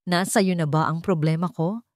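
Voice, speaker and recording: A woman's voice: lowish voice, fairly even intonation, a little fast, dry recording, lightly acted. A woman acts out a rich, icy male boss from a romance audio drama. He is curt and stern, handing out orders in a close, dry sound. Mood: cold, stern.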